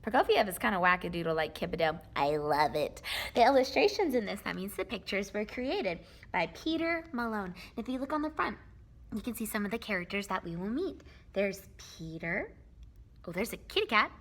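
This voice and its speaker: A woman reading a children's story expressively, as a teacher would to young listeners. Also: medium pitch.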